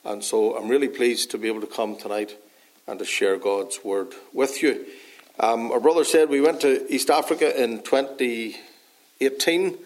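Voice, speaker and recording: A man with a Northern Irish accent preaches a sermon at a middle pitch for a man, earnest and unhurried. He pauses often and fills the gaps with small hesitations. A dry cough leaves his voice slightly hoarse. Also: darkish tone. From Northern Ireland. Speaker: pastor.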